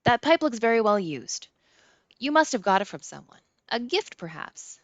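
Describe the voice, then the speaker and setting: A woman's voice: fairly high pitch, sing-song, dry recording, voice pushed up. A woman voicing a pudgy, stuffy male psychiatrist in an audiobook, formal and politely probing. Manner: formal, measured, careful phrasing.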